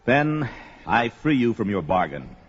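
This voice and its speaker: An actor playing a brawny young barbarian warrior in an audio fantasy drama, blunt and heroic. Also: male voice, on the low side, big pitch swings, measured pace, husky, heavily acted. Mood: bold. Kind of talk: audio sword-and-sorcery drama acting. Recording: dull lo-fi audio with music.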